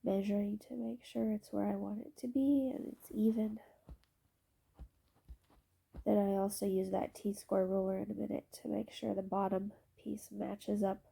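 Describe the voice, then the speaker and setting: A woman with a stuffy, congested voice narrating her scrapbooking process video, explaining her supplies in a relaxed way with occasional ums. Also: mid-range voice, vocal fry, slightly rough, rough recording. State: stuffy, congested from allergy shots.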